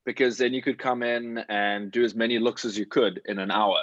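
A man's voice: medium pitch, fairly even intonation, fairly quick, a bit bright, no room sound, muffled recording. A man chatting casually as a working actor giving advice, talking in an emphatic, slangy way with plenty of swearing. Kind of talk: casual chat.

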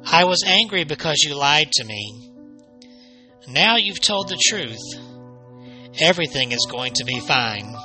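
Man as a stern mother, sharp bright voice. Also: on the high side, big pitch swings, measured pace, pauses often, soft background music, pitched above the performer's own voice, heavily acted. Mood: firm, soothing. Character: stern, scolding mother of a young girl. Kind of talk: horror storytelling character voice.

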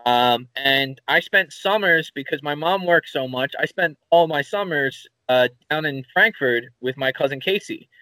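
A man's voice: a little high, measured pace, hardly any pauses, dry recording, low-quality recording. Rambling Philadelphia man, dry close sound. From Philadelphia area, USA. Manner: rambling, animated storyteller, swears casually. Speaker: podcast co-host. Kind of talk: casual chat.

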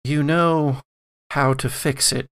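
Guy doing an old granny, weary n grave, gravelly voice, really slow, halting, tabletop game. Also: mid-range voice, steady tone, fairly bright tone. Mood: grave, urgent. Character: solemn old grandmother, weary keeper of grim secrets.

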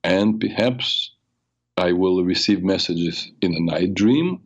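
An older man hosting a radio talk show, raspy from a cold, telling personal stories in a rambling way with many fillers. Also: low-pitched, slow pace, dull audio. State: has a cold. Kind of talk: solo talk show monologue.

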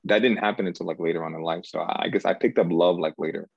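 A man from Cameroon in his thirties, a guest in an interview, talking things through slowly and hesitantly, full of "like" and restarts. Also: deep voice, steady tone, vocal fry, husky, no room sound, muffled recording. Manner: searching, hesitant, repeats himself and says "like" often. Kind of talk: reflective conversational interview.

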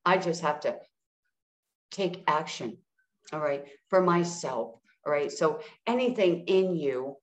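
A woman's voice: sits low, lively intonation, takes it slowly, echoey room. A woman guest coaching listeners in a soothing way, often checking in with "all right?". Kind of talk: guided healing talk.

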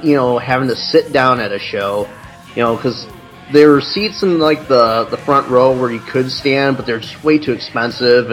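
A man from the Chicago area talks through a long story, swearing freely and drifting from point to point. His pitch sits in an ordinary middle range, and faint music plays under the conversation. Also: poor audio. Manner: profane, rambling storytelling. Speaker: podcast co-host. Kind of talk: casual chat.

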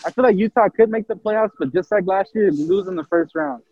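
Gravelly high-voiced man, excited. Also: fairly quick, highs cut off. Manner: animated, stammering restarts. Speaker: podcast co-host. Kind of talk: casual sports chat.

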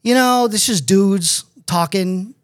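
A man's voice: sits high, slow pace, no echo, clean studio sound. A man in his fifties co-hosting a podcast in casual comedic chat, opinionated, rambling and freely swearing. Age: 50s. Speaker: podcast co-host.

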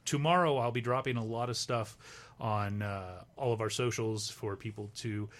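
A man co-hosting a live broadcast, speaking in a measured, hesitant way with many pauses and fillers, and joking now and then. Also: on the low side, fairly bright tone. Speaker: co-host and event organizer. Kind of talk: casual live broadcast chat.

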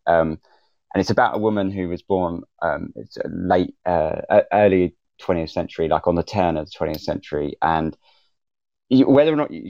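Low-voiced man, dull recording. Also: a little mellow, no room sound. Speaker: podcast host and panel guest. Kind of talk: casual live panel talk.

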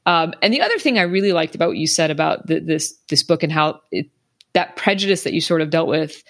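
A woman with a fairly low voice talks in an even, reflective way. She sets out her thoughts steadily in a relaxed conversation. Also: fast. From United States. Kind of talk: casual discussion.

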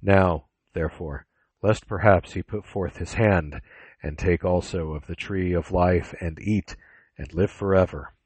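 Guy doing god passing judgement, very low breathy voice, stern n solemn, bible drama. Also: darkish tone, no echo. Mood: grave. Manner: solemn, deliberate, authoritative.

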